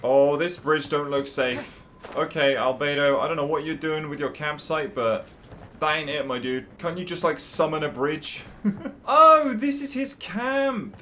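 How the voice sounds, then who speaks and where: A man's voice: fairly high pitch, measured pace, pushed and bright, slight background noise. A young man giving casual gameplay commentary, with laid-back, slangy asides. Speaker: gaming streamer. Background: game music.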